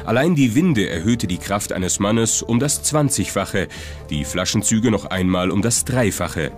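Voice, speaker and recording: A man's voice: on the low side, animated, measured pace. A man reads scripted documentary narration. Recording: music behind the voice. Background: music.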